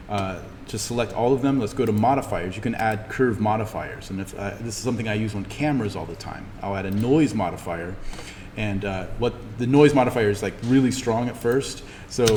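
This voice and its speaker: A man teaching an introductory animation class, talking informally through software steps and thinking aloud as he demonstrates. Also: lowish voice. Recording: steady noise behind the voice.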